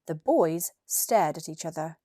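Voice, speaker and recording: A British woman in her twenties reading a children's story in an expressive, clearly articulated narration. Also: low-pitched, a little slow, dry recording, studio quality. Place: small furnished room indoors. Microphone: lapel mic.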